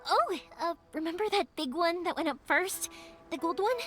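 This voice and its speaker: Sunny young fireworks artisan; high, animated, earnest read over game score. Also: female voice, moderate pace. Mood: cheerful. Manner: warm, earnest, reflective with soft laughs. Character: cheerful young female fireworks maker. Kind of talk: video game dialogue. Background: game music.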